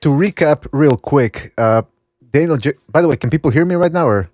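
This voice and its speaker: A man's voice: a little high, brisk, a little mellow, no room sound, clean studio sound. Man hosting a call-in sports podcast. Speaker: podcast host. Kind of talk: casual call-in sports talk. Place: online voice call.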